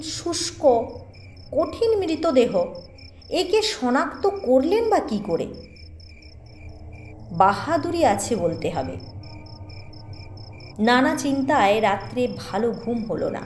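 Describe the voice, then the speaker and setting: A woman with a high voice tells an eerie ghost story at a slow, deliberate pace. Her delivery is expressive, with dramatic pauses. The voice carries an echo over a music bed. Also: a little hiss or hum. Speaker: audio story narrator.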